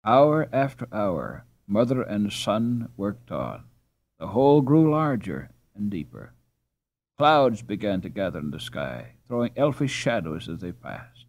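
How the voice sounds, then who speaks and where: A man narrating an audiobook in an expressive, measured storytelling style with dramatic pauses. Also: fairly low pitch.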